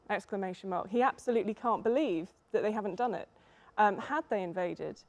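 Young british woman on a panel explaining stuff, medium pitch, emphatic, repeats words. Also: a little mellow. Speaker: panellist, history expert. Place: theatre stage, seated panel.

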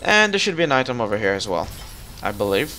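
A man's voice: mid-range voice, sing-song, quick pace, bright, forceful tone, a little hiss or hum, low-quality recording. A man casually chatting while co-op gaming, thinking aloud and guiding his partner. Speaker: let's play host. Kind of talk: casual co-op gameplay chat.

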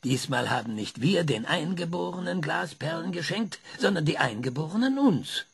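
A man playing a sardonic, gravelly old researcher. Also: on the high side, sing-song, moderate pace, exaggerated voice. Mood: insistent. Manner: lecturing, sardonic, impatient. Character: male older ex-researcher, sardonic and insistent. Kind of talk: German radio play acting.

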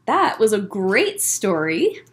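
A young American woman, a children's librarian, reading a picture book aloud to children with expressive, deliberate phrasing and short asides. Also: medium pitch. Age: young adult. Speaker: children's librarian hosting story time. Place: indoor library room lined with bookshelves. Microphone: webcam at desk distance.

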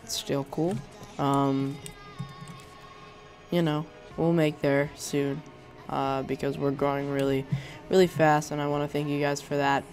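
A man's voice: fairly high pitch, level tone, measured pace, a little mellow, faint music behind. A young man with a sore throat, casually showing off a Minecraft resource pack, rambling with lots of hesitations. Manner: rambling, hesitant, many ums and restarts. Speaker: Minecraft YouTuber. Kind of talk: casual gameplay showcase commentary.

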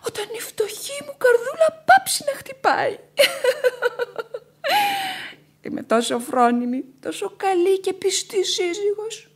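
An actress playing a proudly devoted, stubborn young widow in radio theatre, theatrical, lamenting and imperious. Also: female voice, extremely high pitch, big pitch swings. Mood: tearful reproach. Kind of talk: Greek radio theatre acting.

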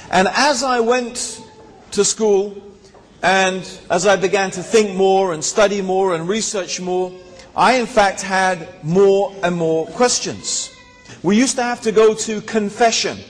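A man, telling his life story to a hall audience, with rhetorical asides and many fillers, into a handheld mic. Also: sits high. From born in Tanzania, raised in England. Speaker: speaker. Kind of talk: personal story talk to an audience. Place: large hall with seated audience. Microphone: handheld microphone. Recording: long hall reverb.

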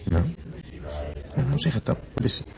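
A man's voice: medium pitch, expressive, moderate pace, gravelly, mellow, a little hiss or hum. An actor playing a blunt, teasing married man who is a hospital patient, in a naturalistic radio drama. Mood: incredulous.

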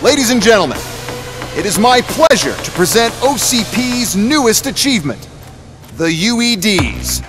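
An arrogant corporate tech boss in a video game. He has a high voice and a slick, self-important presenter's delivery. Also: sing-song, moderate pace, music in the background. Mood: proud, showy. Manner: pompous salesman's presentation. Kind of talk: video game cutscene acting.

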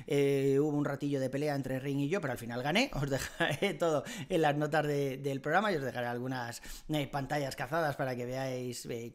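A middle-aged man with a Castilian Spanish accent explaining things casually and colloquially, close to a desk microphone. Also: medium pitch. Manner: conversational, colloquial, with frequent tag questions. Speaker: tech vlogger. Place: furnished living room at home. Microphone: desk microphone close in front.

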